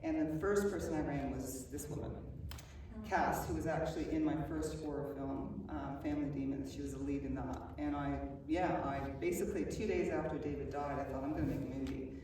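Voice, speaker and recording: A woman's voice: sits low, steady tone, brisk, warm tone. An Australian woman, a film director, speaking candidly and swearing casually in a live stage Q&A. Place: cinema stage before an audience. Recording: very echoey venue, distant mic, noise.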